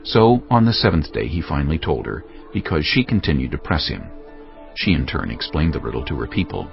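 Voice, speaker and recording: A man's voice: a bass voice, very animated, a little fast, gravelly. A man narrating scripture in a dramatic, scripted style. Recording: dull lo-fi audio with music. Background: music.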